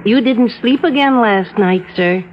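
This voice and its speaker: A woman's voice: a little high, a little slow, slight background noise, natural voice. An actress playing a deferential, fussing, worried cleaning woman in a vintage radio drama. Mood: concerned, deferential. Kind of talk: 1940s-50s radio drama acting. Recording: muffled old radio recording.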